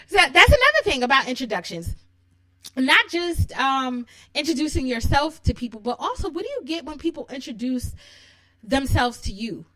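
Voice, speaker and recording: A woman with a New York accent and a sweet, childlike voice pitched a little high. She chats alone in a bubbly, giggly way, often breaking into laughter. The sound is close and dry. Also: sing-song, normal speed, pushed and bright, no echo, low-quality recording. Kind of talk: casual solo monologue.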